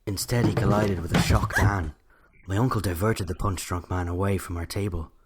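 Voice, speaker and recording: A man's voice: deep voice, fairly even intonation, moderate pace, heavy background noise, natural voice. An Irish man playing a narrator who recalls a night from his childhood, in scripted first-person storytelling. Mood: reflective.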